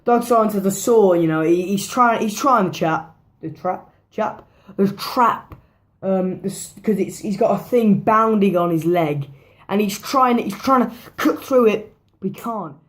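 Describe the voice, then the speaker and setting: Hoarse woman rambling about films. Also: mid-range voice, a little slow. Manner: rambling, swears freely, repeats herself. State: hoarse, losing her voice. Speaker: movie reviewer. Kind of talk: casual movie review vlog.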